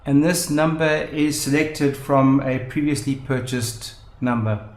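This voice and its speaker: A man narrating a step-by-step software demonstration in measured, explanatory phrases. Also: mid-range voice, fairly even intonation. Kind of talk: step-by-step instructional narration of a screen demo. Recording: roomy, echoing voice-over.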